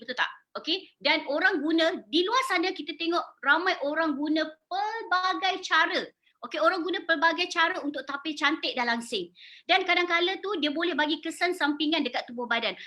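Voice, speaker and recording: A woman's voice: high-pitched, sharp tone. A woman in her forties with a Malaysian accent, giving an informal live sales presentation in an emphatic, repetitive way, often checking in with listeners through questions. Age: 40s. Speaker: product presenter and sales promoter.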